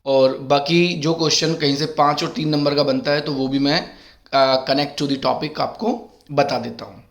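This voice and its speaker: A middle-aged male teacher with a sore throat, running an exam-prep lesson and calling his students beta while reading questions out slowly. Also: fairly high pitch, steady tone. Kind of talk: exam-prep lesson in Hindi mixed with English.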